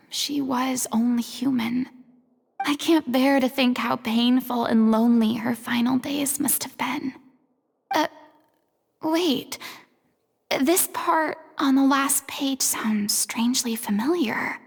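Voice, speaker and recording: An actress voicing an earnest, compassionate young woman investigator in a video game, with a hushed, solemn delivery. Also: fairly high pitch, vocal fry, husky, in a large hall, full character voice. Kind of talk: video game story voice acting.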